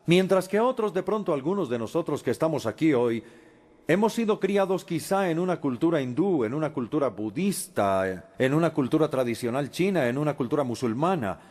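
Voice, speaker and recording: A man giving a lecture as a Spanish voice-over, measured, with frequent pauses and hesitations. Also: on the high side, animated, a little mellow.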